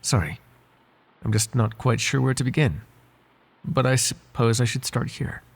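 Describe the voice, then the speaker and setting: Male actor as devoted, courtly butler for ASMR roleplay; soft-spoken, husky, slightly gravelly, politely flirtatious, prone to hesitation. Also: medium pitch, moderate pace. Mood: apologetic, earnest. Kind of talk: ASMR roleplay voice acting, intimate one-sided dialogue.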